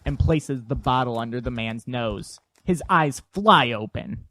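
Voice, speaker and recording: A woman's voice: a bass voice, animated, unhurried, a bit bright. A woman narrating an audio drama from a script. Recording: clear background noise and clicks.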